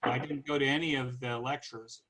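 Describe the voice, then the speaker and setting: A man over fifty with a North American accent, giving an informal explanatory presentation in a halting way, pausing to search for words. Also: mid-range voice, fairly even intonation, a bit bright, rough recording. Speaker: podcast host giving a club presentation.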